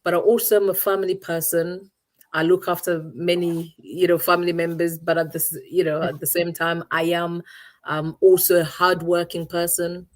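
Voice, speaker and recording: Low-voiced thoughtful woman from Uganda. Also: level tone, moderate pace. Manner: thoughtful, searching for words with many restarts. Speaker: guest. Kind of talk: reflective interview answers.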